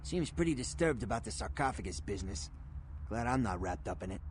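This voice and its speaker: An actor playing a chatty, jittery underworld dealer in a video game, with rapid informal chatter. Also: male voice, lowish voice, expressive, a little hiss or hum, lightly acted. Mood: jittery gossiping. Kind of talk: video game dialogue acting.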